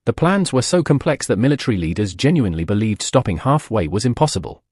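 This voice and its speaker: A man narrates a history explainer in a husky, slightly breathy voice. His pitch rises and falls a great deal as he delivers punchy, dramatic lines and throws out rhetorical questions. The recording is close and dry, with no room sound. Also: very animated, fast talker, a little mellow, dry recording. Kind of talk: scripted documentary narration.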